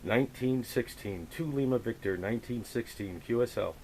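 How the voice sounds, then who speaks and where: A man operating a radio and hosting a contact, chatting casually and chummily in a halting, hesitant way. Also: medium pitch. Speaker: amateur radio operator running the frequency. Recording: hissy, noisy radio recording. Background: radio static hiss.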